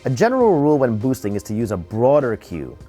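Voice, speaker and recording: Man presenting a tutorial with lively intonation. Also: mid-range voice, soft background music. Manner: explanatory, measured step-by-step delivery. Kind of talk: instructional narration.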